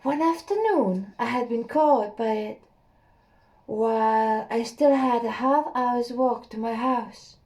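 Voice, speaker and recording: Slow, hushed woman reading a story. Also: a little high. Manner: slow, hushed and measured, with a few slips and laughs. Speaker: audiobook reader.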